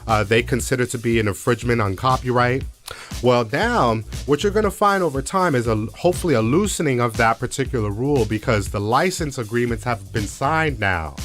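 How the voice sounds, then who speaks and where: A man's voice: medium pitch, animated, fairly quick, fairly bright tone, soft background music. A man co-hosting a radio show, explaining news step by step and putting rhetorical questions to the listeners. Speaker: radio co-host. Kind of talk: music business news commentary on a radio show.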